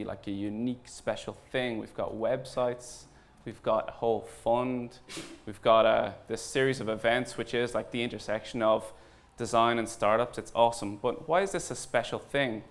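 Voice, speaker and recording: Male, Irish accent, mid-pitched voice. Conference speaker: informal, earnest, deliberate pauses. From Ireland. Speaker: conference speaker, startup founder and designer. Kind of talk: conference talk.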